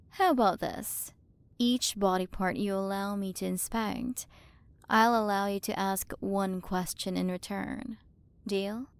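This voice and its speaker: A woman's voice: mid-range voice, vocal fry, smooth, no room sound. Casting: female performer as an imperious alien queen-scientist for ASMR roleplay; mid-range voice, commanding and teasingly superior, intimate dry recording. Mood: bargaining, curious. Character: haughty alien galaxy queen, possessive scientist captor.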